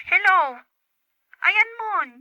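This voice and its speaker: A perky young female friend in an Ilocano radio drama. She teases in a high, extremely bright and sharp voice, recorded dry and close. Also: drawn-out words, no room sound, lo-fi sound, heavily acted. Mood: perky, teasing.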